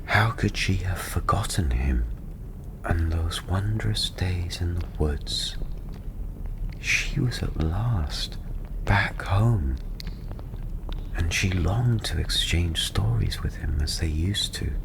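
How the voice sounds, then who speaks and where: A male storyteller speaks in a low, hushed voice that often slips into a breathy whisper. He narrates fantasy at a very slow, soothing pace. A steady ambient soundscape sits clearly behind his soft ASMR delivery. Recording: steady ambient background noise.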